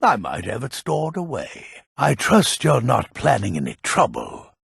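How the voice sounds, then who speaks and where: A gruff old fantasy game villager, selling potions like a true curmudgeon. His voice is heavily gravelled and very husky. He takes his time over the words, at an unhurried pace. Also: medium pitch, huge pitch swings, a bit bright, no echo, studio quality, exaggerated voice. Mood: welcoming. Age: older. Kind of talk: video game character lines.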